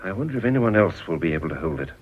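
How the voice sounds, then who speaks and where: An actor with an English accent playing an earnest, ambitious Edwardian politician in a period radio drama. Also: male voice, deep voice, sing-song, very fast, breathy edge, heavily acted. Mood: eager, confiding. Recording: dull, slightly muffled old radio recording.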